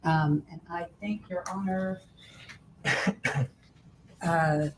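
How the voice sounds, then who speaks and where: A woman prosecutor questioning a witness and addressing the judge in a measured, formal way. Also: lowish voice, steady tone, darkish tone, slight background noise, rough recording. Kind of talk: courtroom questioning. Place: courtroom.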